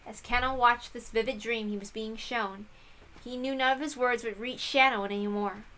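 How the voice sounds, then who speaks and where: Bright-voiced woman narrating a story. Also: fairly high pitch, steady tone, moderate pace, low-quality recording. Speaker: reader of visual novels. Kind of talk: expressive scripted narration.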